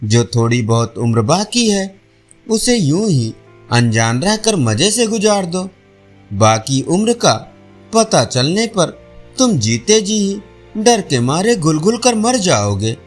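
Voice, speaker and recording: A learned village astrologer-priest, played by a male storyteller who pronounces with complete assurance. The delivery is slow and widely inflected, in audio storybook style over faint music. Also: high-pitched, sing-song, full character voice. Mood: cautioning. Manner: confident, pronouncing.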